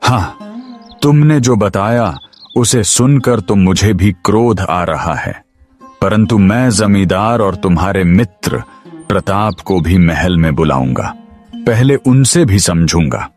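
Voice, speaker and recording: Man voicing a low, stern king. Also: animated, slow, darkish tone, faint music behind. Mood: grave, questioning. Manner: formal, authoritative. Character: stern, self-important king holding court. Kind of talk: Hindi cartoon moral-story voice acting.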